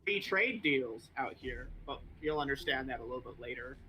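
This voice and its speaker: A man's voice: medium pitch, moderate pace, low-quality recording. A man hosting a stream, casually walking a friend through a game with lots of fillers. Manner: relaxed, rambling, lots of fillers. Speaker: streamer host. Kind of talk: casual gameplay explanation.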